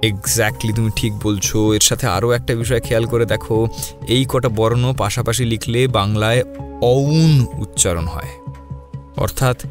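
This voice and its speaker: A man playing a commanding male detective in an audio thriller, deliberate and probing in the way he explains and questions. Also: mid-range voice, close to an everyday voice. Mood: intent, probing. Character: sharp, commanding male detective leading a murder case. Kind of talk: Bengali audio detective story acting. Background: music.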